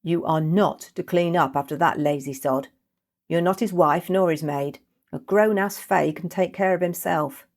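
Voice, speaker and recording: A woman's voice: on the low side, normal speed, a little mellow, close to an everyday voice. A woman voicing a blunt, sardonic young housemate who scolds with glee in a storybook. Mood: stern, scolding.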